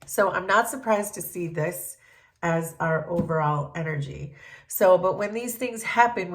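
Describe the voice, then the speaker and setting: A woman reads tarot cards for her viewers in a gentle, unhurried way, pausing often to think. Her voice sits a little low and sounds stuffy from a cold. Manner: gentle, thoughtful, many ums and pauses. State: congested from a cold. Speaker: tarot reader. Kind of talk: collective tarot reading.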